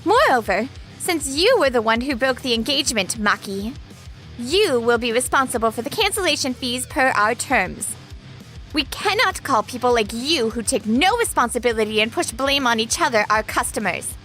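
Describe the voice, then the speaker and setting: A woman's voice: on the high side, big pitch swings, moderate pace, bright, forceful tone, faint music behind. A woman playing a stern, formal female company president in a manga dub. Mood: stern, reproachful.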